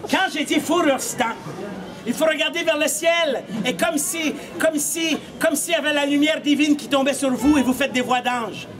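Very high-pitched theatrical man. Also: moderate pace. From Canada. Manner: theatrical, projecting, mock-serious. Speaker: street puppeteer and comedian. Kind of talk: comic storytelling to a live audience. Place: outdoor cobbled street by a stone wall. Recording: outdoor live show, noisy crowd.

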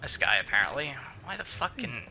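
A man's voice: mid-range voice, big pitch swings, a little fast, bright, forceful tone, soft background music, poor audio. A man giving casual let's-play commentary, muttering to himself and swearing mildly as he plays. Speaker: gaming video host.

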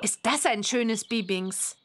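An actress playing an eager, expressive young witch girl in a children's game. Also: fairly high pitch, slow, very noisy. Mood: cheerful, eager. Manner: bubbly, expressive. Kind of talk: children's game character dialogue.

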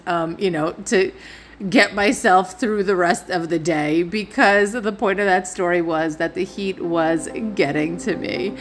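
A woman narrates a travel vlog in a chatty, candid way, speaking straight to her viewers. Her voice sits at a medium pitch, and music plays clearly behind her. The delivery is casual, like someone sharing anecdotes and practical tips. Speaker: travel vlogger.

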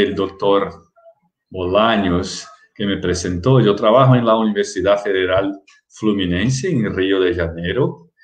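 A middle-aged Brazilian man lecturing over a video call, his voice sitting a little low. He speaks very slowly and carefully, restarting words as he goes. The webcam audio sounds roomy. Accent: Brazilian Portuguese. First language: Portuguese. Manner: halting, careful, frequent restarts. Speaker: guest lecturer. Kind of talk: online lecture with slides.

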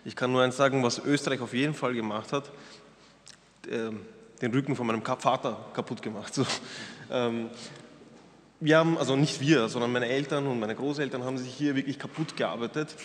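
A man's voice: medium pitch, brisk. A young man from Austria, a panel guest speaking frankly and earnestly, with some hesitant fillers, at a table microphone. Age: young adult. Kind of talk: panel discussion. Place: wood-panelled hall, indoors. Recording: reverberant hall with faint noise.

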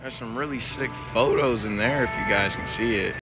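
A young man with a raspy, worn-out voice, chatting casually as he vlogs through a theme park at night on a phone held at arm's length. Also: medium pitch, moderate pace, over loud music, poor audio. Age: young adult. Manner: chatty, rambling, laughs often. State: exhausted. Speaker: vlogger. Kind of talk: casual vlog walkthrough. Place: outdoor theme park and shopping area at night, rainy.

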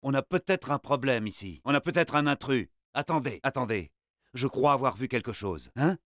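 A man's voice: medium pitch, expressive, normal speed, fairly bright tone, dry recording, studio quality, close to an everyday voice. An actor playing a wary male sentry on patrol in a video game, watchful and muttering. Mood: suspicious. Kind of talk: video game guard dialogue.

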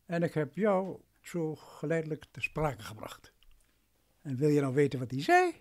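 Radio play role: man as condescending, domineering male lawyer, highish voice with very animated intonation. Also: normal speed, natural voice. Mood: imperious. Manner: patronising, imperious.

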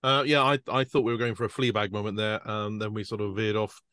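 A man's voice: lowish voice, level tone, a bit bright, clear, resonant tone, no room sound. Upbeat man on a call. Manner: upbeat, brisk, repeats yeah. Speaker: script reading panelist. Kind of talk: casual video-call discussion.

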